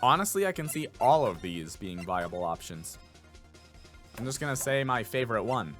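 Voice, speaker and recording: A man's voice: mid-range voice, sing-song, normal speed, fairly bright tone, faint music behind. A man hosting a video game playthrough with casual, playful commentary and a joking, self-promoting manner. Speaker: YouTube host and voice actor.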